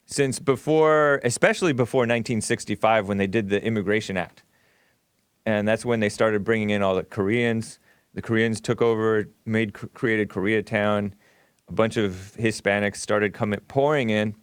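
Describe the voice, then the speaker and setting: A man's voice: mid-range voice, smooth, no room sound, studio quality. A middle-aged man, co-host of a stream, talking in a casual, rambling monologue with many fillers and self-corrections.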